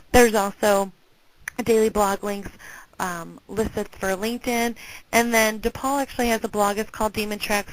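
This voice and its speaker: A woman giving an informal instructional presentation in a conversational, directive way, often checking in with her listeners. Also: medium pitch. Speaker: presenter, alumni relations career staffer. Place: group conference call. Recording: clearly noisy conference call with clicks.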